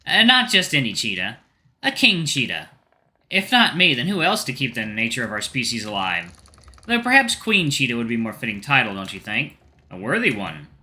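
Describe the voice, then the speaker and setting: A man voicing a young adult female cheetah sailor, proud and playful, talking in an emphatic, lively way. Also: medium pitch, normal speed. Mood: playfully regal.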